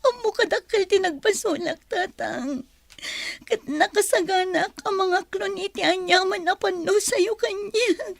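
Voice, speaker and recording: A woman's voice: very high-pitched, measured pace, vocal fry, no echo, exaggerated voice. An Ilocano-accented woman playing a remorseful young daughter in a radio drama. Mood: tearful pleading. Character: tearful, remorseful young daughter begging her parents' forgiveness.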